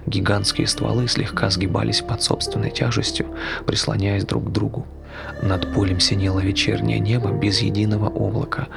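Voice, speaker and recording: A man narrating a scripted tale for a stage play in a hushed, measured, gravelly voice. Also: lowish voice, level tone. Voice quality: gravelly, creaky. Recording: loud music under the voice. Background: loud music.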